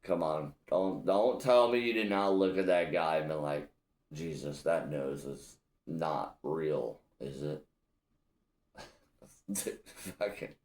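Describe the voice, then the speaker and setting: A man's voice: low voice, a little mellow, roomy sound. A young man from Illinois hosting a solo podcast, ranting bluntly with lots of profanity. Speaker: podcast host. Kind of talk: casual solo podcast rant.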